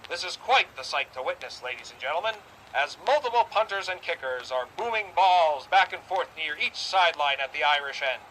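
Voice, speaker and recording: High, bright 1920s radio football announcer. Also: male voice, slight background noise, low-quality recording. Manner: grandiose, formal showman delivery. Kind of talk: 1920s radio sports commentary acting.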